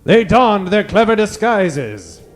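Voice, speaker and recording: A man's voice: high-pitched, sing-song, slow pace, over music, heavily acted. A man narrating in a theatrical, suspenseful old-time radio serial style.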